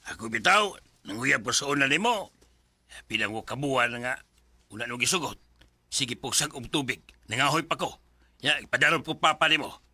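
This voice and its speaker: A man playing a stern, bossy middle-aged father in a Bisaya radio drama, with a forceful manner and a Bisaya accent. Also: medium pitch, lively intonation, moderate pace, slightly rough, no room sound, full character voice. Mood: teasing, bossy.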